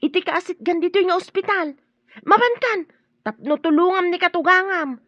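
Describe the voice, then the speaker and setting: A concerned Filipino neighbour in a radio drama, eager to help. Her voice is extremely high and earnest, recorded close and dry with a slightly dull, lo-fi tone. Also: moderate pace, fairly bright tone, no room sound. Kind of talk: Ilocano radio drama acting.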